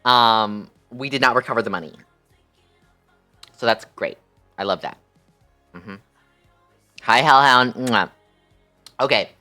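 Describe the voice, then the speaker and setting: A man's voice: medium pitch, takes it slowly, pauses often, pushed and bright, soft background music. A man chatting casually on a livestream in a sassy, campy, slangy way peppered with swearing. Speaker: live streamer.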